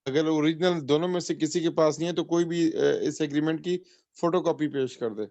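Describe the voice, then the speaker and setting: A man's voice: on the high side, fairly even intonation, darkish tone, dry recording. Pakistani male student on dull lo-fi audio. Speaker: law student. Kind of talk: working through an example aloud in class. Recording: dull, lo-fi online call sound.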